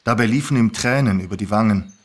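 A man's voice: on the low side, fast talker. A man narrator reading a scripted story aloud.

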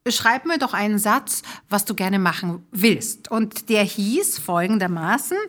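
A woman hosting a conversational interview in an effusive manner. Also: a little high. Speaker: podcast host. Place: small theatre, live recording.

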